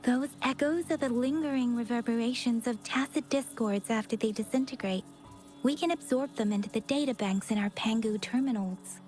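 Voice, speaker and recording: A woman voicing a gentle, earnest young female guide in an anime-style game, courteous and measured in explaining. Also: on the high side, close to an everyday voice. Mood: polite explaining. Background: game music.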